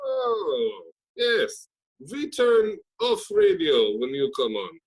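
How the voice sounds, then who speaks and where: A woman's voice: lowish voice, sing-song, slow, slightly rough, exaggerated voice. A woman playing a blunt, hostile flightless bird hijacker with broken, clipped phrasing in a comedy table read. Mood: dismissive.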